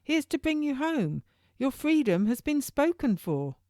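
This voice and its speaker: Woman voicing an urgent young male turnkey, pitched high. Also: sing-song, normal speed, warm tone, no echo, studio quality. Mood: earnest, official. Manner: emphatic, archaic formal speech. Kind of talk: audiobook character dialogue.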